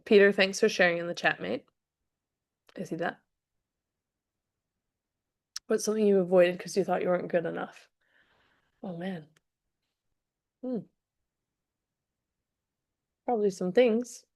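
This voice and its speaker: A young adult woman, hoarse from a cold, talking chattily with frequent restarts as a fitness coach in a live session. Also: mid-range voice, normal speed, pauses often, a little mellow. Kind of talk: casual live-streamed talk to a chat.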